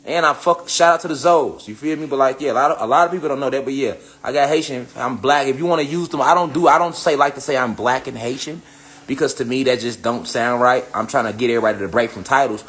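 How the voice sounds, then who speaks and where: A man's voice: medium pitch, fast, a bit bright. Profane, preachy man ranting to camera. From United States. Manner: profane, preachy, emphatic repetition. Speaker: spiritual commentator. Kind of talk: casual rant to camera.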